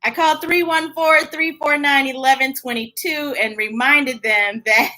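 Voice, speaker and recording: Bright, high-pitched American woman hosting story time. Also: rough recording. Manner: playful, animated, chatty, drawn-out emphasis. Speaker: children's story time host. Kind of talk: casual livestream chat to young viewers.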